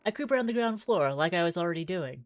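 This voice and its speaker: Low-voiced woman streaming a visual novel. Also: lively intonation, quick pace, no room sound. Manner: theatrical reading mixed with chatty asides. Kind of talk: reading game text aloud with casual live commentary.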